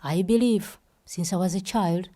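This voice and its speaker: A woman's voice: on the low side, a little slow, warm tone. A woman from Uganda, the founder of a festival, giving an impassioned speech and repeating phrases for emphasis.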